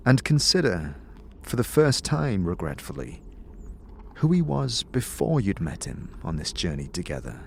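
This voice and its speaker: Guy reading dramatic fantasy narration, lowish voice that moves around a lot, some noise behind. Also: creaky. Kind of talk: dramatic second-person story narration.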